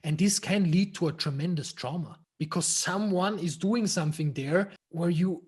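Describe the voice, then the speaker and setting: A man's voice: sits high, moderate pace, darkish tone, slightly rough. A man from Austria, a guest men's coach, talking frankly and swearing in a casual podcast interview, often restarting his sentences.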